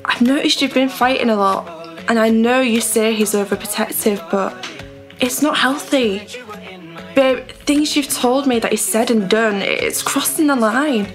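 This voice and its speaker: A woman's voice: fairly high pitch, measured pace, soft background music, close to an everyday voice. A young woman acting in a radio drama, playing a concerned, protective best friend, earnest and probing. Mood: concerned, insistent. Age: young adult.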